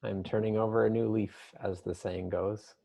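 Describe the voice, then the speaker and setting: A man leading an improvised, conversational guided meditation in a gentle, unhurried manner with long pauses. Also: fairly low pitch, little pitch movement, vocal fry.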